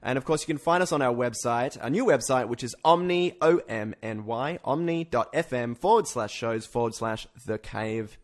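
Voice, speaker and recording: A man hosting a podcast, chatting casually and informally about pop culture with animated, slangy phrasing. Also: mid-range voice, moderate pace, few pauses. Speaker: podcast host.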